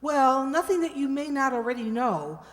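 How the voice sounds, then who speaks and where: Lady amateur detective female performer: high pitch, polite and composed, radio mystery, hall reverb. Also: sing-song, moderate pace. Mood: earnest, helpful. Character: polite, friendly married woman amateur sleuth.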